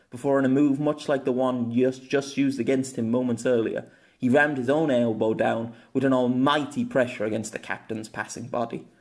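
A man's voice: mid-range voice, darkish tone. A man reading a scripted fantasy story aloud as an audiobook narrator.

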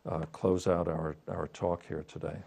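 A man's voice: sits low, level tone, unhurried, creaky, darkish tone. A man in his sixties interviewing a guest about books in a hesitant, thoughtful way, on a lapel mic in a studio. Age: 60s. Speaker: interviewer, writer and musician. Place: quiet TV studio set with bookshelves.

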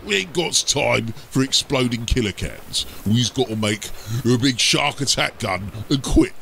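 A man voicing a gruff, exasperated ork mechanic chief in a storybook, with rough, bellowing, slangy speech and a gravelly voice. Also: mid-range voice, lively intonation, measured pace, bright, forceful tone, a little hiss or hum, full character voice. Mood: urgent, bossy.

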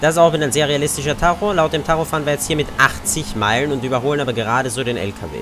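A man's voice: a little high, steady tone, racing. A young man with an Austrian German accent giving casual, chatty commentary over his gameplay and cursing at other drivers. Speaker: let's play gamer. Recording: game car noise under voice.

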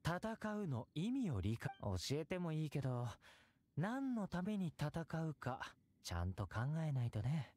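A man playing a confident, easygoing young warrior and caring elder brother in an anime game, calm and teasing. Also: fairly high pitch, unhurried. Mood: gentle, instructive. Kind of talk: anime video game acting.

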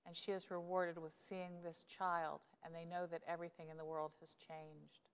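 A woman's voice: lowish voice, flat delivery, normal speed, clear, resonant tone. A middle-aged woman pastor gives an earnest, conversational sermon, with emphatic rises, on a lapel mic in a church sanctuary. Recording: long church reverb.

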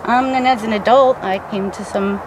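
A middle-aged woman talks casually and rambles a little, with lots of ums and likes, as she walks through a hut in the woods. She is recorded outdoors by someone holding the camera. A steady hiss of background noise stays under her voice the whole time. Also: on the high side, normal speed. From New Jersey. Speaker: bushcraft builder giving a tour. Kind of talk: casual show-and-tell. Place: outdoors in woods, inside and beside a stick hut. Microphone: camera held by another person.

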